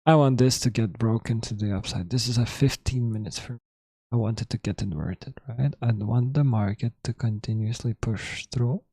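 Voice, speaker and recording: Male trading streamer, hoarse throat, dark mellow tone, dry room, muttered live commentary. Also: medium pitch, no room sound. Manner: thinking aloud, halting, self-correcting. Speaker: trading livestream host.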